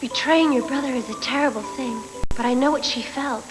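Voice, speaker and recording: A woman's voice: sits high, fairly quick, close to an everyday voice, rough recording. A woman voicing a devoted, fierce young cyborg warrior girl in an anime dub, earnest and dramatic. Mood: brooding, jealous. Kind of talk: dubbed anime voice acting. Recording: music behind, old VHS tape dub.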